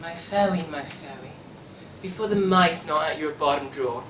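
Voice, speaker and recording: A woman's voice: low-pitched, normal speed, a crowd in the background, lightly acted. A young woman playing an ardent draper, a man mad with love, in a table read of a radio play. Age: young adult. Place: room at a university table read. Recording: distant echoey room with clear noise.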